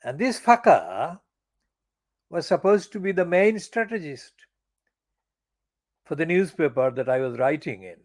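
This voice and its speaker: Slow, animated older man venting with contempt. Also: fairly high pitch. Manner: angry, profane, emphatic; slow, deliberate phrasing with stressed words. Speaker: channel editor giving commentary. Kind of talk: personal political monologue.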